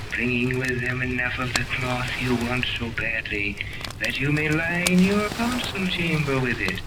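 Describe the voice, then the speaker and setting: Through heavy noise on a vintage radio recording comes a man acting an arrogant mad scientist who holds people captive. He speaks very slowly, with cold contempt and haughty menace, in the style of a 1930s adventure serial. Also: mid-range voice, lightly acted. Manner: slow, haughty, condescending, menacing.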